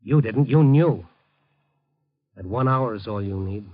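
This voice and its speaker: An actor playing an earnest, hard-boiled newspaper reporter, urgent and moralizing, in a 1950s radio drama. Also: male voice, lowish voice, unhurried. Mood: firm persuasion. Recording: dull vintage radio broadcast recording.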